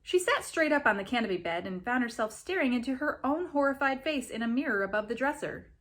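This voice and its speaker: A woman reading a story aloud as an expressive narrator. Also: a little high, quick pace. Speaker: reader of a story.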